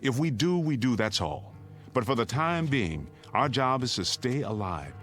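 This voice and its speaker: A man's voice: mid-range voice, big pitch swings, normal speed, a bit bright, faint music behind. An American man acting in a radio drama as an urgent, middle-aged father who takes charge and steadies his family. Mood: urgent, commanding.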